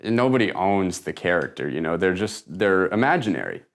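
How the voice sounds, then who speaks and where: A man with a slightly low voice plays it straight as an interviewed animation writer. He is deadpan and evasive, often sounding muddled as he improvises his answers in a comedy interview. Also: moderate pace.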